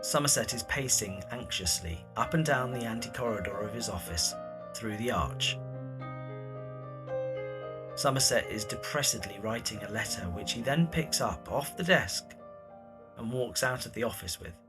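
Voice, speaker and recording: A man narrates an audio drama in a mid-pitched voice. His delivery is scripted scene description with plenty of rise and fall, and music plays clearly underneath. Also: expressive, moderate pace.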